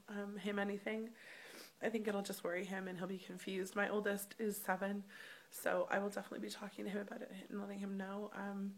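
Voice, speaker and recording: A woman in her thirties speaking candidly in a casual personal vlog, her voice slightly rough, with many ums. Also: mid-range voice. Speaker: vlogger. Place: hotel room.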